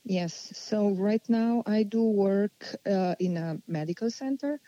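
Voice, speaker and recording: A woman, answering interview questions unhurriedly and pausing as she thinks. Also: medium pitch, mellow, no echo, lo-fi sound. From Slovenia. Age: 40s. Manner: unhurried, thoughtful, with many pauses and restarts. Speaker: guest.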